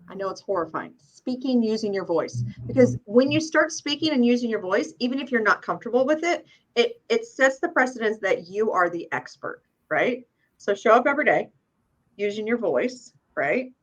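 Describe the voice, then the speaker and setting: A woman's voice: fairly high pitch, animated, rough recording. Upbeat woman giving advice in casual chat. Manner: upbeat, talks in quick asides with frequent "right?". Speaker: real estate agent guest.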